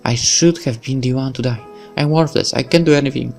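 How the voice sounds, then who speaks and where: A man's voice: medium pitch, fairly even intonation, a little fast, gravelly, faint music behind, voice pushed up, lightly acted. A man voicing a grieving young woman agent who blames herself, tearful and self-deprecating, reading a visual novel. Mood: distraught.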